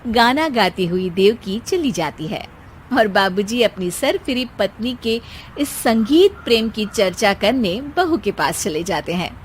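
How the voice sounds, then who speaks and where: A woman's voice: medium pitch, expressive, measured pace, slight background noise. A woman narrator reading a scripted comic family story.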